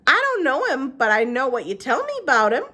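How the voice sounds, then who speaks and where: A woman voicing a kindly, weary old woman who speaks in a gentle, folksy, plain-spoken way. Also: high voice, sing-song, fairly quick, breathy edge, voice pushed up, exaggerated voice. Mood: kindly, knowing. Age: older.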